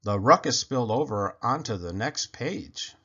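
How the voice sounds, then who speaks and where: A man reads a children's story aloud. His mid-pitched voice moves up and down a great deal as he tells the tale expressively. The recording is somewhat dull and lo-fi. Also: lively intonation, moderate pace, bright, forceful tone. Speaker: children's book reader.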